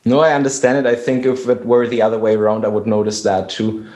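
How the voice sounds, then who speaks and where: Man, native German speaker, guest musician, halting speech, lowish voice, roomy echo. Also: flat delivery, a little mellow, slight background noise. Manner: hesitant, many restarts. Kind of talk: casual conversation.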